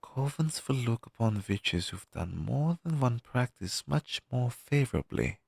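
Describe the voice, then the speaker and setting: A man's voice: medium pitch, lively intonation, gravelly, a little mellow, husky, no room sound, studio quality, heavily acted. A man playing a down-on-his-luck, friendly crow demon, once a witch's familiar, talking hesitantly to the listener in an audio roleplay. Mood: reminiscing. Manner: conversational, hesitant, with sighs and pauses.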